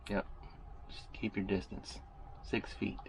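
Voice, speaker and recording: A man's voice: on the low side, flat delivery, slow, gravelly, a little hiss or hum. A man who installs solar systems, co-hosting a camper vlog in a laid-back, joking way from inside a small camper. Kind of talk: casual chat to camera.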